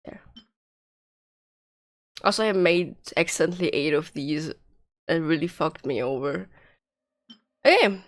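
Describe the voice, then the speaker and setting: A woman's voice: deep voice, measured pace, long pauses, no echo, clean studio sound. A woman livestreaming a game with casual, informal chat and commentary, her nose congested and her voice slightly nasal. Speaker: game streamer.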